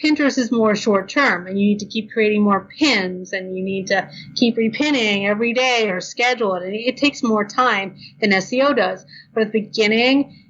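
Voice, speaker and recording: A woman's voice: mid-range voice, a bit bright, dull audio. Tired, chatty woman giving blog advice. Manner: chatty, fast, lots of ums and you knows. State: tired, losing her voice. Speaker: blogger guest. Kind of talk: podcast interview, casual advice.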